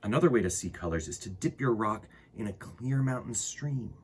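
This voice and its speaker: A man with a fairly low, husky voice hosts storytime for children. His pitch moves around in a lively way, and he leans on words and pauses for dramatic effect. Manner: expressive, dramatic emphasis and pauses. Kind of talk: animated picture-book read-aloud for children.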